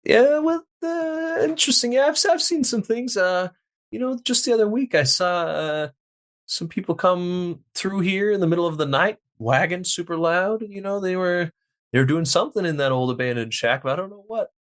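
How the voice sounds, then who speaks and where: A man's voice: high voice, expressive, normal speed, fairly bright tone, pitched above the performer's own voice. A man playing a cautious, nosy neighbor in a tabletop game, speaking hesitantly and furtively. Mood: furtive, gossipy.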